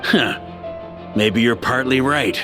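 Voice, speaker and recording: A man's voice: medium pitch, takes it slowly, lightly acted. A man playing a bold, defiant veteran warrior knight in a video game, wry and theatrical in his delivery. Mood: confident. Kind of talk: dramatic video game voice acting. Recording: loud game music behind.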